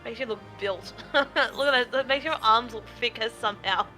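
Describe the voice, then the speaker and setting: A woman's voice: on the high side, normal speed, pushed and bright, rough recording. A woman chatting casually as a co-player on a game stream. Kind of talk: casual chat while gaming. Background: faint game music.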